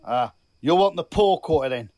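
A man's voice: fairly high pitch, expressive, moderate pace, a little mellow, close to an everyday voice. A man playing a suspicious but easily distracted city guard in a fantasy audio drama.

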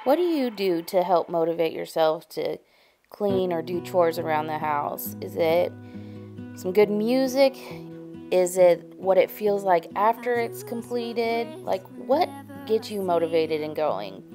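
A woman's voice: on the low side, lively intonation, measured pace. A woman in her thirties, a vlogger chatting upbeat and straight to her viewers while decluttering at home. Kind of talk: decluttering vlog voice-over. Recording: music playing underneath.

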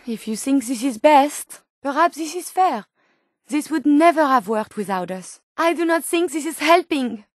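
Polite french-accented lady robot scientist from a video game, formal and earnest, highish voice, dry sound. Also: lively intonation, measured pace, dry recording. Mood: disapproving. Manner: formal, precise, carefully worded. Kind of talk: video game character dialogue.

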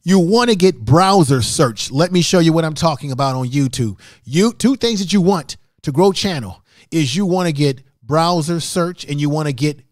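A man's voice: a little high, dry recording, studio quality. A middle-aged man hosting a live-stream tutorial, talking to his chat room in an emphatic, repetitive, instructive way. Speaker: livestream host, YouTube creator. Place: small home studio room indoors. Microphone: large microphone close in front of mouth.

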